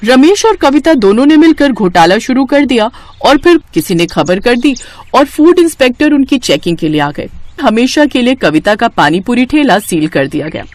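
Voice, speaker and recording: Casting: female narrator for moral bedtime tales, slightly high pitch, very animated intonation. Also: normal speed. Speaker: storyteller. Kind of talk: scripted moral story narration.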